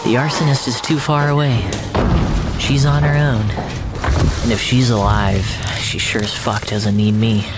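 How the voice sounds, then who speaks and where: A narrator telling a surreal first-person story in a deliberate, dramatic way, with pauses. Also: medium pitch, steady tone. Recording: loud music under the voice. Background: loud music.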